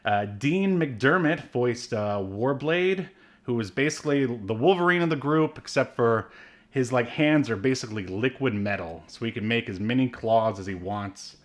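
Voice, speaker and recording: A man's voice: medium pitch, animated, moderate pace. A man co-hosting a podcast, chatting casually and rambling with fillers and small laughs. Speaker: podcast co-host. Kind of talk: casual chat.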